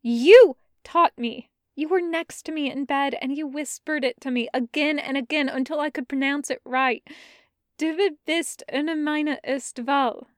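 Storybook heroine, fierce and loving, pitched high and dry close sound, read by a woman. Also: unhurried, no echo. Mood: pleading. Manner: earnest, pleading, voice climbing high.

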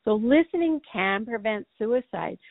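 A woman co-hosting a podcast, earnest and hoarse from a cold, clearing her throat now and then. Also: a little high, lively intonation, a little slow, no room sound. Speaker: podcast co-host. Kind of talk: casual podcast talk. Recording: dry, tinny phone-like sound.

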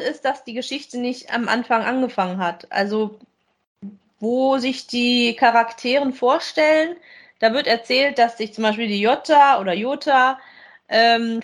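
A woman's voice: a little high, moderate pace. A woman, co-hosting a podcast and chatting casually as she gives a review. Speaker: podcast co-host.

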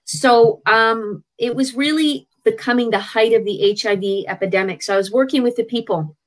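A woman's voice: a little high, normal speed. Frank middle-aged Canadian woman. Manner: frank, conversational, with hesitant restarts. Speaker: interview guest, traditional healer. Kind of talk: candid personal storytelling.

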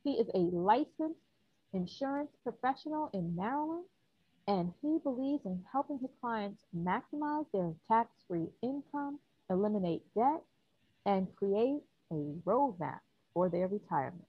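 A woman's voice: medium pitch, animated, a little slow, slightly rough, dull audio. A woman hosting a podcast interview, introducing her guest and asking him questions. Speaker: podcast host.

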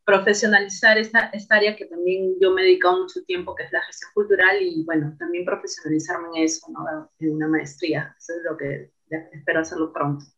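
A woman's voice: fairly low pitch, little pitch movement, a little fast, hardly any pauses, a bit bright. A woman guest on a radio interview, talking reflectively with many fillers and tag questions. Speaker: interview guest, cultural manager. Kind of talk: casual conversation on radio. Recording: dull lo-fi radio audio.

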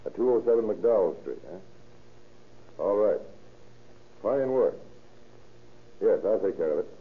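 An actor playing a gruff, brisk middle-aged police captain in an old-time radio crime drama, clipped and commanding. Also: male voice, lowish voice, lively intonation. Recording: muffled, lo-fi old radio recording.

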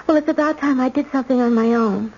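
Radio drama: shy, resolute eighteen-year-old girl, fairly high voice, dull vintage sound. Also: fast talker, darkish tone. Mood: determined. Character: sheltered eighteen-year-old heiress, shy but determined granddaughter. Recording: muffled old radio recording.

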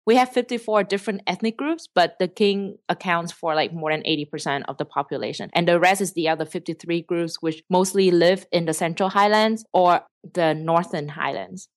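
A woman's voice: fairly low pitch, normal speed, few pauses, no echo, clean studio sound. A young woman from Vietnam with a Vietnamese accent, earnestly explaining her work at length in an interview. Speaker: startup cofounder guest. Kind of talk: interview answers.

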